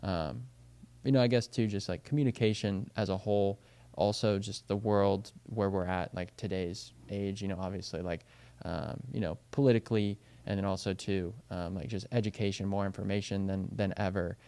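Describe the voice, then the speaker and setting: Rambling young man, smooth low voice. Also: fairly even intonation, moderate pace, warm tone. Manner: rambling, heavy on fillers like "you know" and "like". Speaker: baseball and softball coach, podcast co-host. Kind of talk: casual podcast chat.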